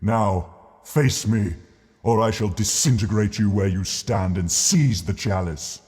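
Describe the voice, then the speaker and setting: A slow, echoing shadow demon villain, acted by a man, ancient and pompous. He declaims every phrase grandly and with menace, in a dark tone swimming in long reverb. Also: lowish voice, lightly acted. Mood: imperious anger. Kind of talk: audiobook character voice.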